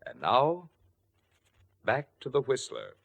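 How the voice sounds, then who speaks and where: A man's voice: mid-range voice, sing-song, takes it slowly, creaky. A man announcing a sponsor message in polished, persuasive 1940s radio style, speaking directly to listeners.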